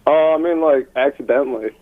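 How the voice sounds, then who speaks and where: A man's voice: mid-range voice, fairly quick. A man making a casual phone-in call, informal and somewhat hesitant. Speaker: phone-in caller. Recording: narrow tinny phone line.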